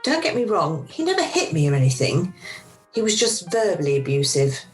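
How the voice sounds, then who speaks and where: Middle-aged mother for radio drama, played by a woman: lowish voice, emotional and wry, confiding. Video-call sound with music underneath. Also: very animated, brisk. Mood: shaken, confiding. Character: Frankie, weary emotional mother of grown children, wryly confiding. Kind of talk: radio play dialogue. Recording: amateur video-call recording with music behind.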